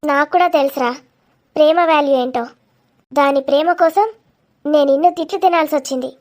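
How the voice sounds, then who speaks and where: A woman's voice: high voice, fairly quick, a little mellow, lightly acted. A woman voicing a feisty, sarcastic young woman in a confrontational cartoon comedy. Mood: indignant. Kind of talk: dramatic cartoon comedy dialogue.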